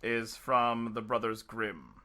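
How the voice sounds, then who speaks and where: A man's voice: fairly low pitch, takes it slowly, bright, forceful tone. A man hosting a casual, parodic story reading, switching between chat and narration. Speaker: host, story reader.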